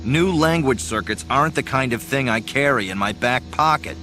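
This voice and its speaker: An actor playing a gruff, exasperated space smuggler pilot in an audio drama, wry and impatient. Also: male voice, medium pitch, animated, moderate pace, bright, forceful tone, rough recording. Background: music.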